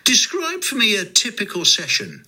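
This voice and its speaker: Man, radio host, very lively pitch, forceful bright voice, encouraging, chatty. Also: moderate pace, husky. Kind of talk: live local radio interview.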